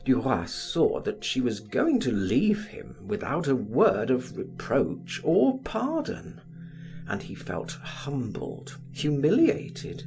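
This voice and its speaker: A man reading a literary audiobook aloud in a theatrical, deliberate, expressive style. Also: medium pitch, gravelly, over music. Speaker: audiobook reader.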